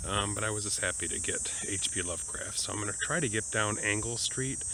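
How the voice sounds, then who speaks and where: A man talking casually to camera as a travel vlogger, informal and halting, thinking aloud as he goes. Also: lowish voice, noisy background. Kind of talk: casual vlog talk to camera. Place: outdoors in a cemetery for much of it.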